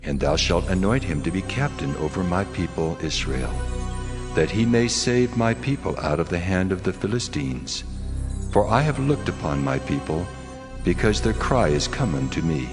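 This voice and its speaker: An actor playing God in dramatized scripture, solemn, measured and commanding. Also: male voice, low voice, animated. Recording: long hall reverb, music behind. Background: background music.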